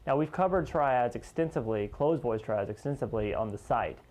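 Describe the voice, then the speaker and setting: A man teaching guitar in an informal, conversational way, explaining step by step and pausing as he points out each note. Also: medium pitch, animated, darkish tone. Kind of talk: informal instructional lesson, demonstrating step by step.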